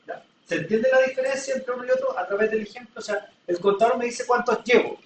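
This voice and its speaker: A man's voice: extremely high pitch, fast, recorded from a distance, a little hiss or hum. Man teaching in an echoey room. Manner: patient, explains with everyday examples, checks in with "¿ya?". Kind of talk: classroom lecture. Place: classroom.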